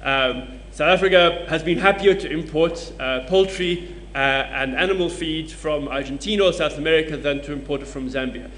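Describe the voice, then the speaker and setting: A man's voice: fairly high pitch, normal speed, hardly any pauses, a bit bright. A man on a conference panel, explaining his points through case studies. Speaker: panelist presenting research.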